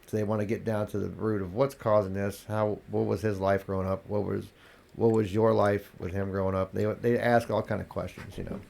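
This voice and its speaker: A congested man with a gravelly voice, talking candidly as a podcast guest, restarting his sentences as he tells his story. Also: on the low side, flat delivery, a little fast, a little mellow, a little hiss or hum. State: congested, coughing. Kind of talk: casual interview talk.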